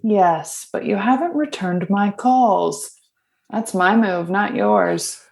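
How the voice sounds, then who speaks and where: A woman's voice: medium pitch, slow pace, vocal fry, darkish tone. A woman acting a teasing, guarded space officer with a dry manner in an audio drama.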